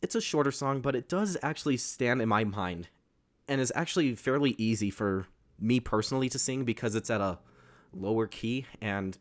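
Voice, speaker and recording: A young man with a baritone voice casually recapping a film, rambling and correcting himself as he goes. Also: normal speed. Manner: rambling, self-correcting, with restarts. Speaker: host.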